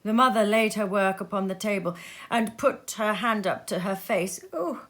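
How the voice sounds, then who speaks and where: A woman storyteller giving an expressive, measured narration of a story, with dramatic pauses. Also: mid-range voice. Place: sitting room.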